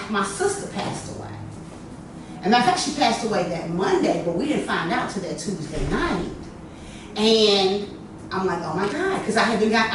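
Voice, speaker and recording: Animated woman speaking in an echoey room. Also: medium pitch, far from the mic. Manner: lively storytelling with a lot of "you know". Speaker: workshop host. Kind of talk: workshop talk to a group. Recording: echoey room, from afar, noisy.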